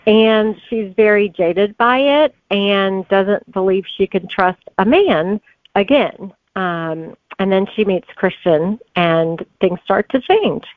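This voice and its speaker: A woman's voice: medium pitch, slow, dry recording, phone-quality sound. A woman answering conversationally as a guest author in an interview.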